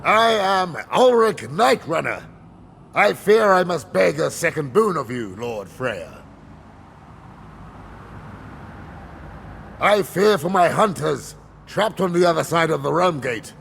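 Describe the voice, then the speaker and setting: A man's voice: fairly high pitch, very animated, unhurried, gravelly, a bit bright, slight background noise, heavily acted. An actor playing a weary, loyal huntsman warrior in a video game cutscene, dramatic and earnest. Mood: grave pleading.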